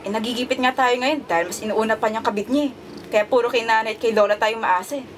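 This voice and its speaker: A woman plays a young elder sister in an amateur radio drama. Her voice is high, blunt and bitter. The recording has faint noise. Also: quick pace, close to an everyday voice.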